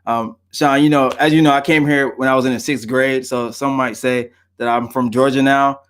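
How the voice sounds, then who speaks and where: A man from Queens, New York, co-hosting a live stream, relaxed and playful as he chats and reads out viewers' names. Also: mid-range voice, moderate pace, clean tone. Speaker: co-host. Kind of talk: casual chat on a live stream.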